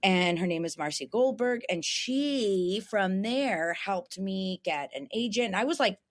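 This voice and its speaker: A young woman with a raspy voice chatting casually as a podcast guest, laughing often and restarting her sentences. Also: medium pitch, animated, a little slow. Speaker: guest voice actor.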